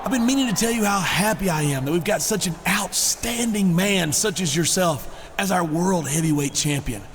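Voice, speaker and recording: A man playing an oily, falsely friendly, boastful wrestler in a video game cutscene, effusive with flattery. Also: high-pitched, normal speed. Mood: flattering, jovial. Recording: noisy game cutscene audio.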